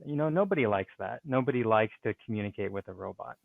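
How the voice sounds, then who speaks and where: A man's voice: on the low side, expressive, fast talker, creaky, no room sound. A man working as a speaking and writing coach, answering interview questions in a hesitant way, with many fillers and restarts. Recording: tinny phone-like line.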